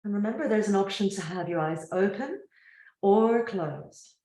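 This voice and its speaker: A woman's voice: mid-range voice, lively intonation. Woman guiding relaxation in an echoey room. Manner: gentle, unhurried, soothing, with long pauses. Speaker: yoga nidra teacher. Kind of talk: guided relaxation meditation.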